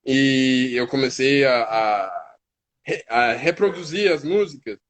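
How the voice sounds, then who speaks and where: A man's voice: mid-range voice, animated, fairly bright tone. A man with an American accent, a native English speaker, talking as a guest on a live stream and often restarting words as he searches for them. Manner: halting, restarts words while searching in Portuguese. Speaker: guest, hip hop artist. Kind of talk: casual live-stream conversation.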